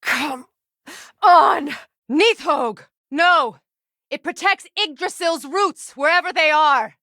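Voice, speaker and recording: A woman's voice: sits high, slow pace, no room sound, clean studio sound, heavily acted. An actress playing a stern, proud warrior goddess, a battle-hardened mother with a commanding, curt manner, in a fantasy video game. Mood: urgent battle shouting. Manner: commanding, curt, sharp-tongued. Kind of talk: dramatic fantasy game dialogue.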